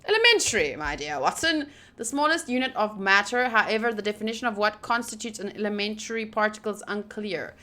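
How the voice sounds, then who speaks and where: A woman's voice: mid-range voice, animated, a little fast, hardly any pauses, pushed and bright. A woman hosting a visual novel playthrough, reading the text aloud expressively and breaking off into wry, informal asides. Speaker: gaming video host.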